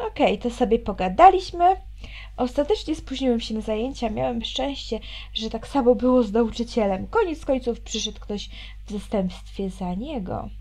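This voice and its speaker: Wry woman reading a visual novel, higher-pitched. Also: animated, normal speed, darkish tone. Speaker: let's player reading a visual novel aloud. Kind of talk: expressive reading aloud with commentary.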